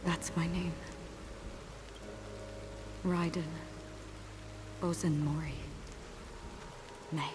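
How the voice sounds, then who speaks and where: Low, slow, solemn swordswoman. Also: flat delivery, background noise. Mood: wistful, hushed. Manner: slow, measured, grave delivery. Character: solemn, melancholy woman swordswoman recalling her past. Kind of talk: scripted video game acting.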